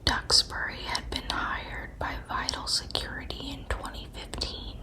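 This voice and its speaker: A woman whispering a scripted true crime narration in ASMR style, with a hushed, measured, factual manner.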